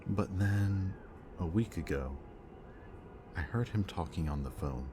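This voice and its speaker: A man playing a bitter, remorseful villain in an audio roleplay, confessing in a low, intimate voice that sometimes drops to a whisper. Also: a little slow. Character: remorseful bitter male villain, young adult. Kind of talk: audio roleplay addressed to the listener. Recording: steady ambient background noise.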